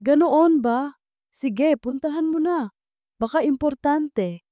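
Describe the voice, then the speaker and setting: A woman voicing a devoted, gentle, hardworking male janitor in an audio love story. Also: high-pitched, takes it slowly, no room sound, voice pushed up. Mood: concerned.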